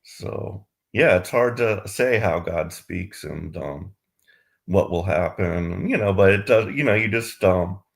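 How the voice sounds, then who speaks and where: A man chatting casually as a student in a spiritual discussion, tentative and halting as he gropes for words. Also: low-pitched, animated, vocal fry. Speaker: student taking part in a spiritual Q&A. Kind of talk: casual chat.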